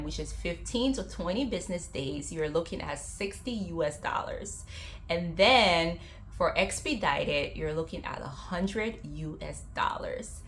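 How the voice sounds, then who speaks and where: A young woman from Liberia, a travel vlogger, talking casually to viewers and telling stories with animated quoted lines. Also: lowish voice, a little hiss or hum. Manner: chatty storytelling, acts out quotes with lively jumps.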